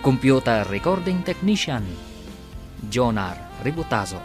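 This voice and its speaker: A man's voice: mid-range voice, very animated, a little slow. A man with an Ilocano accent giving scripted, dramatic narration as a radio serial announcer. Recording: prominent music under the voice. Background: dramatic music.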